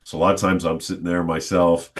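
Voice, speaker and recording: Man, middle-aged, very low voice, good-humored, reflective, long casual stories. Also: little pitch movement, quick pace, slight background noise. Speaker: stadium announcer guest. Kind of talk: casual storytelling in an interview.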